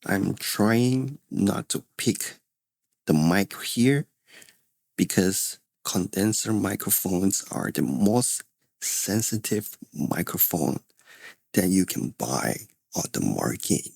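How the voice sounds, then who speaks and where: Guy with a lowish voice doing a casual explainer, repeats stuff to make the point, home room on a proper mic. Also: animated, slow, creaky, darkish tone. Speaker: audio tutorial presenter. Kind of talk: casual explanatory tutorial talk. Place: small untreated home room with furniture. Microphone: close studio microphones, condenser then dynamic.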